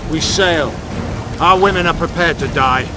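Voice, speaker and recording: An actor playing a proud, grim male elven rebel commander in a video game, urgent and forceful. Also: a little high, moderate pace, background noise, lightly acted. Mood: grim resolve.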